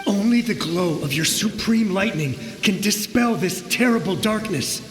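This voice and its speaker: The ghost of a young soldier, high-pitched and desperate. He pleads urgently and haltingly in anime game style, his voice echoing through storm noise. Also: animated, faint music behind. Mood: pleading. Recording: echoing, noisy, with rain and thunder behind.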